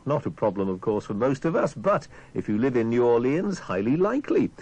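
A man's voice: mid-range voice, sing-song, fairly quick, darkish tone, rough recording. A man presenting on the radio in a conversational style.

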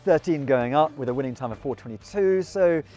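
A man's voice: medium pitch, expressive, a little fast, mellow. A British man in his forties, out of breath and exclaiming as he struggles up a steep ski slope, filmed on a handheld action camera outdoors. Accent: British English. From Britain. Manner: breathless, exclaiming while climbing. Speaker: presenter and runner. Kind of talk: casual running vlog. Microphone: action camera held at arm's length. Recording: music playing underneath.

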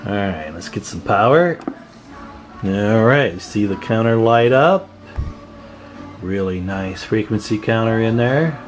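A man giving a casual, informal hands-on demonstration as a hobbyist, unhurried, recorded on a handheld camera in a room at home. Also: on the low side, expressive, soft background music. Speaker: CB radio hobbyist showing his equipment. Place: indoor room at home with radio gear. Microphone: handheld camera microphone, speaker off screen.

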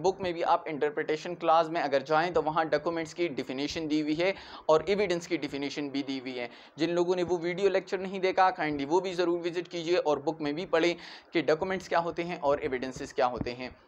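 A man in his twenties with a Pakistani accent giving an explanatory law lecture in a didactic, repetitive way. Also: on the high side. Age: 20s. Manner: didactic, repeats key points, checks with "right" and "okay". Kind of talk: explanatory classroom-style lecture. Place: small indoor room in front of a whiteboard. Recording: clearly noisy amateur room recording.

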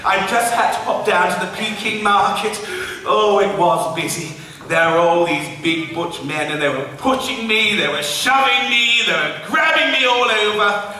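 A man's voice: high voice, moderate pace, bright, forceful tone, recorded from a distance. A camp old pantomime washerwoman. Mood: camp, bawdy. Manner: camp, bawdy, teasing the audience, bursts into song. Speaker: pantomime dame. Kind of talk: live pantomime stage comedy. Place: large theatre stage. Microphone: far stage recording. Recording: echoey hall recorded from afar.

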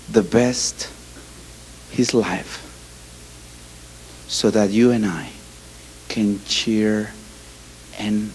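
Ecuadorian man speaking slow accented English. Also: on the low side, darkish tone, a little hiss or hum, low-quality recording. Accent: Ecuadorian Spanish. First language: Spanish. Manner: halting non-native English, many restarts, gesturing. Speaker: ministry speaker. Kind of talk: animated talk to an audience. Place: hall indoors. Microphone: handheld mic.

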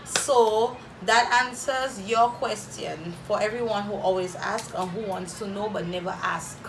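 A woman's voice: medium pitch, unhurried, fairly bright tone, slight background noise, rough recording. A woman in her twenties from Saint Lucia, with a Saint Lucian accent, chatting playfully to camera as she answers viewer questions in a small room. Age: 20s. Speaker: vlogger. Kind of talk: casual Q&A to camera. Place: small room indoors, plain wall. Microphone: camera in front, head-and-shoulders framing.

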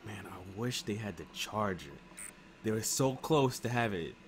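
A young American man giving casual, slangy let's play commentary, rambling and thinking out loud. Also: lowish voice, animated, measured pace. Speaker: gaming streamer. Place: room at home. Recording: clearly noisy home webcam stream. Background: car game sounds.

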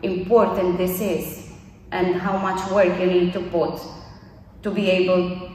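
A woman's voice: fairly low pitch, little pitch movement, measured pace, a little mellow, a little hiss or hum. A woman in her thirties from Bulgaria with a Bulgarian accent, a non-native English speaker giving an earnest interview testimonial as a course graduate. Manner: earnest, with frequent fillers and repetitions. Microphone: camera mic from a distance. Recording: echoey room, voice from a distance.

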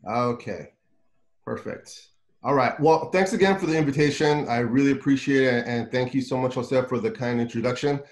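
A man's voice: mid-range voice, normal speed. Middle-aged man giving a video-call talk. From United States. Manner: conversational, with repeated words and fillers. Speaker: guest speaker. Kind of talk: webinar talk. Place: home office with bookshelves.

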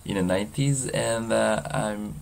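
A man in his thirties with a strong French accent, vlogging outdoors in a halting way with many fillers and restarts. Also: fairly low pitch, gravelly, darkish tone. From France. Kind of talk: casual walking vlog. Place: outdoors in a seaside mountain town.

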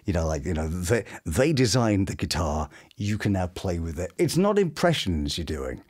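Animated, enthusiastic middle-aged British man. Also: sits low, moderate pace. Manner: enthusiastic, expressive storyteller. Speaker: voice actor interviewed as guest. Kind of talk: animated anecdotal interview chat. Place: small home studio room. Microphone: boom-mounted studio microphone.

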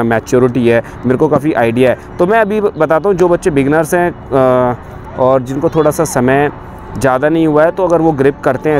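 A man's voice: on the high side, a little mellow, a little hiss or hum. A man in his thirties, a cricket coach, explaining bowling grips step by step outdoors on a lapel mic. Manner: explains step by step while showing a ball grip. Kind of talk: spoken coaching tutorial. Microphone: lapel mic on camera facing him.

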